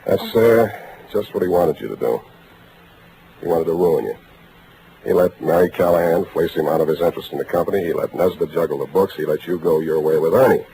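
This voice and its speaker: Hard-boiled detective, noisy old radio. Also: male voice, deep voice, brisk. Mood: grim explaining. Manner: hard-boiled, terse, wry delivery. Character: tough, wry, world-weary male private detective. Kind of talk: 1940s radio detective drama acting and narration. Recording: noisy vintage radio recording.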